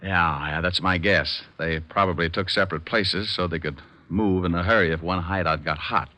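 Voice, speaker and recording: A man's voice: low voice, fairly even intonation, moderate pace, pushed and bright. An actor playing a confident, wry male private detective in an old radio crime drama. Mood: businesslike.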